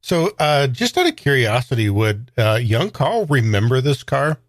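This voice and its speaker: A man's voice: mid-range voice, big pitch swings, moderate pace, no room sound, studio quality. A man playing a tabletop game, chatting casually and describing his character in a musing, hesitant way. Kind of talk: casual improvised role-play chat.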